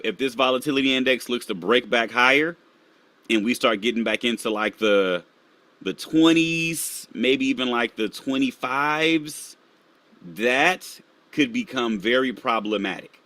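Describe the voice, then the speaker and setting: A man's voice: measured pace, pushed and bright, dry recording. A man hosting a live stream, casually walking viewers through market charts and sometimes muttering to himself as he pulls up the next one. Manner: casual, talks to viewers while pulling up charts; drops to a low mutter while searching charts.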